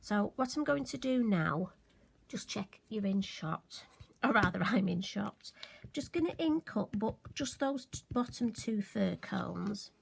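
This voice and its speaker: A woman's voice: medium pitch, expressive, measured pace, low-quality recording. Chatty woman demonstrating card making. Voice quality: occasionally croaky. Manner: chatty, self-deprecating, friendly asides. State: slightly hoarse, recovering voice. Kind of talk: casual crafting tutorial.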